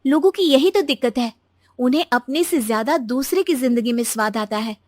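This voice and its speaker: A woman voicing a gentle but determined young daughter-in-law in a moral story, earnest and coaxing. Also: high-pitched, expressive, a little fast, no room sound, studio quality. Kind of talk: animated Hindi moral-story voice acting.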